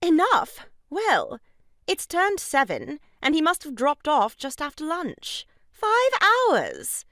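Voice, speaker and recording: Woman acting an arch, high, swooping young lady. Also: huge pitch swings, slow pace, a bit bright, dry recording, studio quality, exaggerated voice. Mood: arch, teasing. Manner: theatrical, pompous scolding. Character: arch, mock-pious young sister-in-law, teasing and grandly reproving. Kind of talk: comic stage farce acting.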